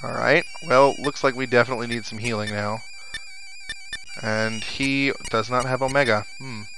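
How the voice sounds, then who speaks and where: A man's voice: medium pitch, fairly even intonation, normal speed, low-quality recording. A man giving casual let's play commentary, thinking aloud to his viewers as he plays. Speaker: gaming video host. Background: video game music and sound effects.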